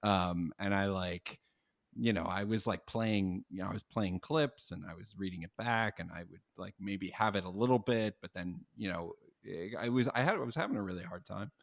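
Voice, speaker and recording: Male voice actor in casual chat, lowish voice, close dry sound, modest and halting. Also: creaky, no echo. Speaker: voice actor being interviewed.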